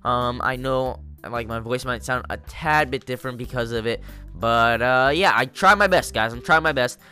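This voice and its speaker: Congested young man, excitable game commentary. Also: mid-range voice, normal speed, soft background music. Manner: excitable, jokey, talks to viewers. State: congested from a cold. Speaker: gaming YouTuber. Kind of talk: casual gameplay commentary.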